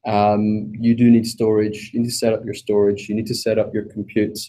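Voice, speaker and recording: A man's voice: lowish voice, completely flat delivery, brisk, mellow, poor audio. A man with a Scottish accent giving a technical meetup talk, hesitant, with many fillers and some self-deprecating humor. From Scotland. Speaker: presenter. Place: meetup room, also streamed online.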